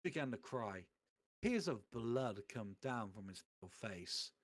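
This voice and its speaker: A man reading fan fiction aloud on a stream in a comic dramatic style, swearing and halting over misspelt words. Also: medium pitch, lively intonation.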